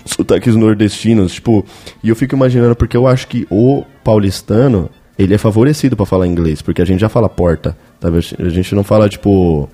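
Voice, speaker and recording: A man with a São Paulo accent talks loosely in street slang, quick to tease whoever he is talking with. His voice sits low and sounds dark and warm. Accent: São Paulo Portuguese. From São Paulo, Brazil. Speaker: podcast host. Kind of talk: casual podcast chat.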